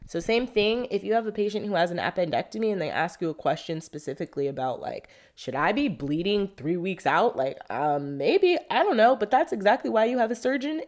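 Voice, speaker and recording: A young woman from Southern California, speaking with its accent, coaches test prep students in a relaxed, chatty way. She keeps dropping in "like" and talks through questions as if asking them to herself. Her voice sits at a middle pitch. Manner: conversational, uses "like" and acts out questions to herself. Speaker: MCAT tutor. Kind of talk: unscripted teaching monologue.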